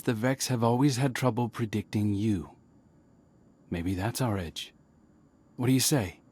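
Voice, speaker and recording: An actor voicing a dry, wry young male scout in conversational game dialogue with soft music underneath. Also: on the low side, breathy edge.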